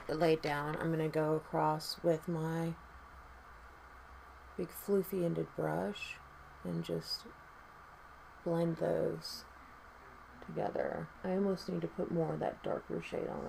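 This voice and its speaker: A woman with a raspy voice doing her makeup and chatting casually, tired, rambling and swearing freely. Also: low-pitched, a little hiss or hum, poor audio. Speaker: makeup vlogger.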